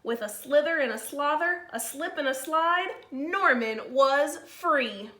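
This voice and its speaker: A woman teacher reading a picture book to children in a slow, expressive way, with informal asides to her pupils. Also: high-pitched. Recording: roomy, echoey sound.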